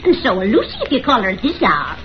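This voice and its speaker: A woman playing a lively, bossy society lady at a party in an old radio drama, chattering briskly. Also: medium pitch, over music, natural voice. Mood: breezy. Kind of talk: 1930s radio acting. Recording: muffled old radio recording.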